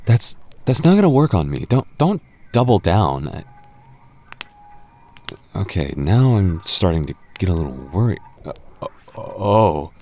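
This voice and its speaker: Man, young adult, very low voice, boyfriend roleplay, teasing, stammers when nervous. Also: big pitch swings, unhurried, mellow, a little hiss or hum. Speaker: voice actor playing a goth boyfriend.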